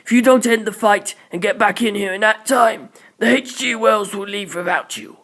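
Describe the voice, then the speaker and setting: A composed male spaceship captain, acted out by an audiobook narrator. He speaks with terse authority in a raised, commanding pitch. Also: normal speed, gravelly, a bit bright. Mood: firm, resolute.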